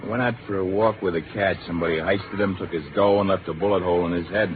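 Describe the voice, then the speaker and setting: A noisy, tinny old radio recording carries a man acting as a very low-voiced street bystander. He is callous and wisecracking, tossing out slang with offhand sarcasm in 1950s radio drama fashion. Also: steady tone, fast, close to an everyday voice.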